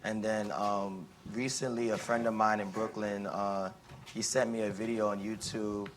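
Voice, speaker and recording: A man's voice: lowish voice, little pitch movement. A young man speaking up from the audience at a panel discussion, asking a long, rambling question full of fillers and restarts. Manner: halting, many fillers and restarts. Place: conference room with an audience.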